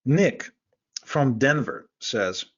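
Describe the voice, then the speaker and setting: A mid-pitched man from Ukraine, Russian by first language, runs a webinar as an online marketing coach. His delivery is brisk and persuasive, full of quick restarts. The sound is dull and lo-fi. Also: lively intonation, husky. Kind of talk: webinar sales presentation.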